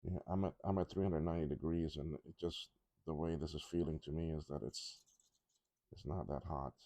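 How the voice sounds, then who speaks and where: A man talking casually through a soldering job at his workbench, halting and rambling, his voice a little congested from a cough. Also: rumbling low, fairly even intonation, warm tone, no echo. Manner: rambling, halting, with many restarts and ums. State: congested, slightly rough from a cough. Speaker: electronics repair hobbyist.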